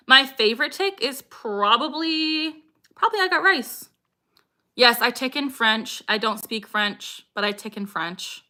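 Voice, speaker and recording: A woman's voice: on the high side, expressive, measured pace, sharp tone. A young woman chatting casually on a livestream and answering viewers' questions. She is upbeat and laughs often, and her sentences are broken by sudden vocal tics.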